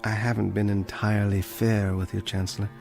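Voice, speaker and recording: A man's voice: lowish voice, level tone, brisk, gravelly, a little mellow, faint music behind. An actor playing a condemned, bookish male librarian in a radio drama, precise, pedantic and dryly ironic. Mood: quietly triumphant.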